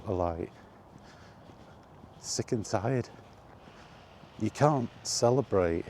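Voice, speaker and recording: A man's voice: low voice, warm tone, a little hiss or hum. A man rambling casually in a weary, grumbling way, as in a freewheeling solo vlog. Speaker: vlogger. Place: walking while talking, ambient noise behind.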